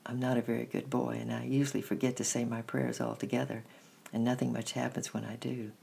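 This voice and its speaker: A reader voicing a serious, troubled young boy who speaks quietly and sadly, with a creaky, husky voice. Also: medium pitch, level tone, brisk. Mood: doubtful. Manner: quiet, sad.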